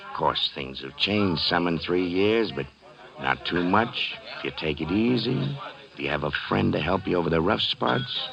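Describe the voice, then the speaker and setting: An actor playing a middle-aged, smooth-talking former police chief with an American accent in a 1940s radio drama, folksy, coaxing and insistent. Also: male voice, low-pitched, animated, moderate pace, a crowd in the background. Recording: dull old 1940s radio recording.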